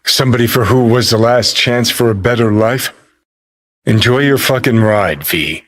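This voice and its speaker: An actor playing a cynical, brash rebel rocker in a video game, with a sardonic, profane and taunting manner. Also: male voice, medium pitch, moderate pace, a bit bright, natural voice. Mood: sardonic, dry.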